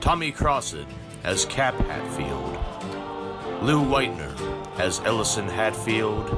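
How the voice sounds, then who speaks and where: A man's voice: fairly low pitch, very animated, takes it slowly, loud music behind the voice. A man reading scripted radio drama credits as the show's announcer.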